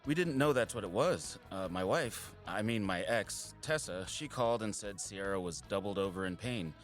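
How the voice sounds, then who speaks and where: A man acting as a courteous, slightly apologetic dad in an audio drama. His voice is on the low side and conversational, with music softly behind it. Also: expressive, moderate pace, close to an everyday voice. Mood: apologetic, explaining. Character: courteous, apologetic divorced father, friendly adult man. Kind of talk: naturalistic audio drama dialogue.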